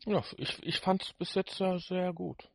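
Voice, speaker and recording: A man's voice: high-pitched, unhurried, gravelly, no echo. A man co-hosting a podcast, commenting casually and often repeating and rephrasing his points. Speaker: podcast co-host.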